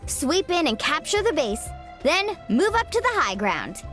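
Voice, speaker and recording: A woman's voice: extremely high pitch, sing-song, unhurried, exaggerated voice. A woman voicing a spirited, boastful young girl warrior in a video game, with an exuberant, dramatic delivery. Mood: confident. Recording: loud game music underneath. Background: loud background music.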